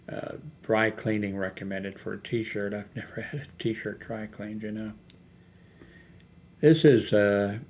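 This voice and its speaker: An older man hosting a casual unscripted vlog, thinking aloud in a hesitant, halting way as he browses products. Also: on the low side, darkish tone. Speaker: YouTube host. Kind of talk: rambling, unscripted screen-browsing product chat. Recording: noticeable background noise, homemade recording.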